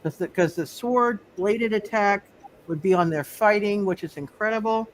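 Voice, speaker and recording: A high-pitched man acts as game master in a live online roleplay session. He works out rulings aloud in a halting, repetitive way, improvising as he goes. Faint noise sits behind his voice. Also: poor audio. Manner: halting, repeats words and phrases. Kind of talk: casual, improvised game refereeing.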